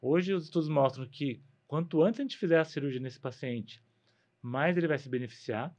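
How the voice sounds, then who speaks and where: A man's voice: fairly high pitch, moderate pace, a little mellow. A man with a Brazilian Portuguese accent, a guest doctor explaining medical matters conversationally, often posing a question and answering it himself.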